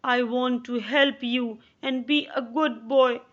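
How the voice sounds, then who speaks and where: A woman's voice: a little high, steady tone, slow, a bit bright, highs cut off. A woman voicing a stubborn young boy, reading his lines in a raised, pleading voice. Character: stubborn young boy, rude then sorry.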